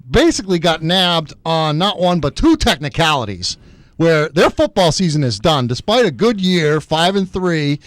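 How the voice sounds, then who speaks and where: A man's voice: fairly high pitch, dry recording. A man hosting a sports radio show from the studio, chatty and wry with chuckling asides. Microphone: studio microphone.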